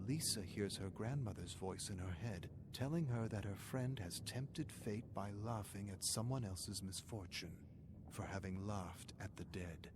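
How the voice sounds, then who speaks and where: A man narrates a dramatic story in a fairly low voice. He delivers scripted prose as a storyteller, with heavy ambient noise behind him. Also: a little slow.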